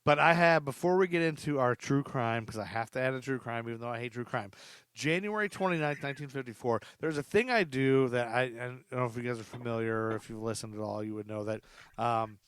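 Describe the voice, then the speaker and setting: A middle-aged man hosts a casual podcast chat, rambling and poking fun at himself with frequent laughs. His voice sits in a middle pitch. The sound is dry and close, with no room around it. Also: brisk, a bit bright, clear, resonant tone, dry recording. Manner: rambling, self-deprecating, laughs often. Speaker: podcast host.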